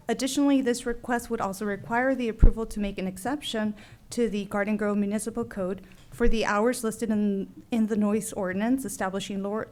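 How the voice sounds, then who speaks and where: A woman city official delivers a staff report to council. Her delivery is formal and measured, clearly read from prepared text. Her pitch is middling for a woman. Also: darkish tone. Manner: formal, measured, reads from a script. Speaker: city staff deputy director.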